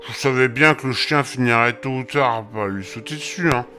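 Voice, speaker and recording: A man's voice: medium pitch, moderate pace, pushed and bright, faint music behind, close to an everyday voice. A man playing a groggy, mumbling coachman. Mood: grumbling. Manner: mumbling with many fillers. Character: groggy bewildered old coachman, muttering. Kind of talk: audio drama acting.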